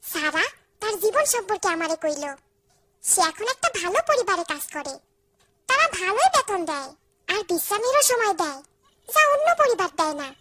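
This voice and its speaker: A woman voicing an eager, chatty, kind village girl in an animated cartoon, expressive and earnest, with a Bangladeshi accent. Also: very high voice, normal speed, dry recording, exaggerated voice. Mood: earnest storytelling. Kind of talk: animated cartoon dialogue and storytelling. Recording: music behind the voice.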